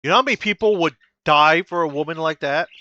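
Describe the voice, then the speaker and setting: Man, reaction host, blunt, crude, repeats phrases, forceful bright voice, dry slightly dull recording. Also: fairly high pitch, fast talker, no echo. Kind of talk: casual reaction commentary.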